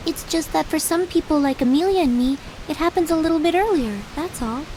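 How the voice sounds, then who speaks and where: A sweet, wise-beyond-her-years young girl, voiced by a woman, speaking in a high, gentle tone meant to comfort. A steady hiss sits under the game audio. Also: brisk, close to an everyday voice, poor audio. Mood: consoling. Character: fourteen-year-old girl, kind, calm, wise beyond her years. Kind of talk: video game cutscene dialogue. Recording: hissy game audio capture.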